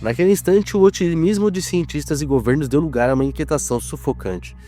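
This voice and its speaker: A man's voice: a little high, dark tone. Solemn Brazilian male horror narrator over music. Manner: solemn, dramatic, measured. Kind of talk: scripted horror documentary narration.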